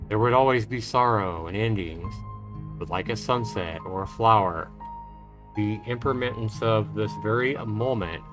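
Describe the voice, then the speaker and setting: Male streamer, lowish pitch, measured storytelling, visual novel read-aloud, music and birdsong behind. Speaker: livestream host. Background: loud music and birdsong.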